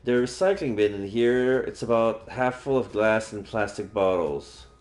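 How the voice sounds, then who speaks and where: A man's voice: on the low side, animated, unhurried, a little mellow. A man reading visual novel dialogue and narration aloud as a game streamer. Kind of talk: live read-aloud of visual novel lines. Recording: faint game music behind.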